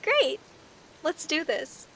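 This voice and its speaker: A woman playing a friendly, teasing young werecat neighbor in an audio roleplay, chatting playfully to the listener with hesitant pauses and theatrical emphasis. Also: high-pitched, sing-song, a bit bright, a little hiss or hum, heavily acted. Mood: amused, friendly. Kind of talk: audio roleplay, casual one-sided conversation with the listener.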